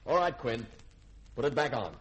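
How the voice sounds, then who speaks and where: An actor playing an easygoing, wry ship's lieutenant who gives offhand orders in a 1950s radio drama. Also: male voice, medium pitch, animated, normal speed, fairly bright tone, slightly rough. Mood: wry, indulgent. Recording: old radio broadcast, faint hiss, clicks.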